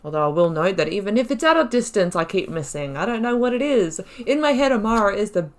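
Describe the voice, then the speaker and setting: A woman's voice: medium pitch, animated, fast. A woman giving casual let's play commentary, chatty and musing, thinking aloud as she plays. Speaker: gaming YouTuber playing through a role-playing game.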